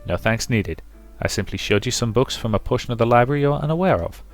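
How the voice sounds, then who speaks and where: A man voicing a stern, weary, bookish gentleman in a visual novel, measured, curt and reserved. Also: mid-range voice, expressive, faint music behind, close to an everyday voice. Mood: stern, subdued.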